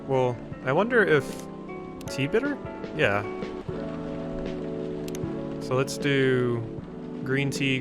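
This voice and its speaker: A man doing casual let's play commentary, thinking out loud as he plays and reads a visual novel. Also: mid-range voice. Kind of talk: casual game commentary, reading visual novel dialogue aloud. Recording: music behind the voice. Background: game music.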